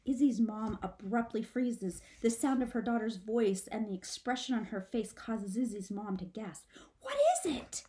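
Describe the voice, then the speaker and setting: A woman reading a children's book aloud like a teacher, with dramatic, expressive shifts of emphasis. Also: medium pitch, normal speed, hardly any pauses, a little hiss or hum, rough recording. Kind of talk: expressive storybook read-aloud.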